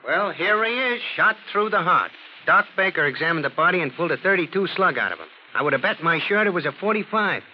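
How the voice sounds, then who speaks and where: Tough police sergeant, very fast, clipped, businesslike, 1940s radio drama, muffled old recording. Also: male voice, high voice, big pitch swings, slight background noise. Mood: matter-of-fact. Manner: matter-of-fact, clipped.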